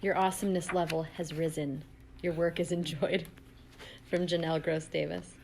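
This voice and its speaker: A woman's voice: low voice, little pitch movement, normal speed, creaky, a little mellow. A woman speaking casually from away from the microphone, making brief interjections. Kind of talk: casual off-camera interjections, reading out comments. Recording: distant, noisy room pickup.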